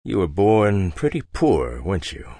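A male audiobook narrator voicing a stern, manipulative ship captain who speaks quietly and measured. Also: deep voice, very animated, no echo, studio quality, full character voice. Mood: scolding. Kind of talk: audiobook character voice.

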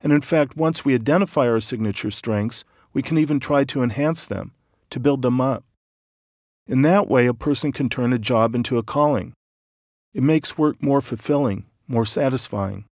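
Calm male audiobook narrator. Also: medium pitch, dry recording, clean studio sound. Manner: calm, measured, explanatory.

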